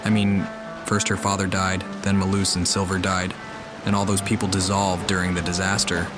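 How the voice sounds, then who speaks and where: A performer voicing a quiet traveler in a video game who talks in a laconic, dry way. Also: low-pitched, completely flat delivery, moderate pace, over music, lightly acted. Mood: somber.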